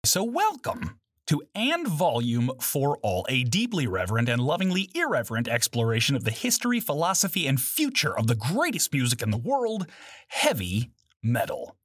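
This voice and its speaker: A man delivers a scripted podcast intro with a playful, mock-grand air. His pitch swings widely, his voice is slightly rough, and the sound is close and dry. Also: huge pitch swings, normal speed, no echo. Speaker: podcast host.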